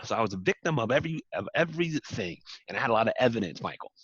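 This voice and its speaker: A man's voice: medium pitch, lively intonation, rapid-fire, breathy edge, no echo, lo-fi sound. A man speaking earnestly as an interview guest, building to emphatic repeated points. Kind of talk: reflective interview talk.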